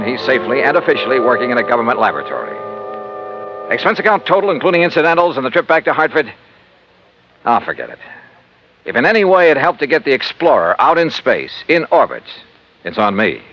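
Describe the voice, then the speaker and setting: Muffled, animated 1950s radio detective. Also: male voice, mid-range voice, quick pace. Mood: wry, somber. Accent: American. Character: tough wry male freelance insurance investigator. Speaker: lead actor and narrator. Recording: muffled old radio recording with music.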